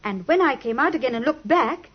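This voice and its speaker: A woman's voice: sits high, lively intonation, a little fast, slightly rough. An actress playing a genteel, earnest young British lady client, speaking expressively in the manner of 1950s radio drama. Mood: earnest, uneasy. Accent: British English. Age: young adult. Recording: muffled, lo-fi 1950s radio recording.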